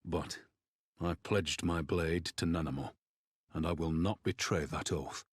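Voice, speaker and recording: An actor playing a battle-worn, loyal warrior general in a video game, speaking in a solemn, measured, formal way. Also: male voice, rumbling low, fairly even intonation, breathy edge, no room sound, clean studio sound, heavily acted. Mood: solemn, resolute. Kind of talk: dramatic video game cutscene acting.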